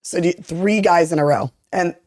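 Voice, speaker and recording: A young woman in her twenties with a deep voice, chatting candidly and rambling on a podcast, filling her talk with "like". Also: moderate pace, darkish tone, no echo, clean studio sound. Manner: candid, rambling, heavy use of "like". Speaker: interviewee. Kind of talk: casual podcast chat.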